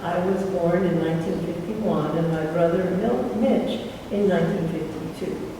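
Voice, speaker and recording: Woman service leader reading a tribute, measured pace, distant from mic, booming hall. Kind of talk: reading a member's written tribute aloud. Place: large meeting hall indoors. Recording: echoey hall, far from mic, background noise.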